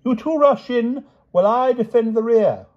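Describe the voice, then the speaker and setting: Giddy, dithering king for a storybook: very high, wildly animated, blustering and full of questions. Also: normal speed, husky, muffled recording, heavily acted. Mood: reckless gaiety. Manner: blustering, chatty, repetitive questioning. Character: giddy, excitable, dithering old-fashioned English king.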